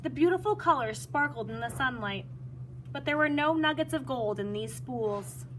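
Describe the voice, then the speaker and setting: A woman reading a children's story aloud in an animated way, acting out dialogue and pausing to explain words. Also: sits high, a little hiss or hum. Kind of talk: expressive read-aloud with brief explanations for children.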